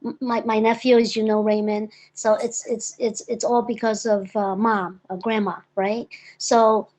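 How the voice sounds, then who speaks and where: Interview guest: enthusiastic woman in her fifties, raised in the US, with a slightly high voice. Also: little pitch movement, a little slow, a little mellow. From born in Hong Kong, raised in the United States. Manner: eager, enthusiastic storyteller. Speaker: guest, real estate broker. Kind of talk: conversational interview.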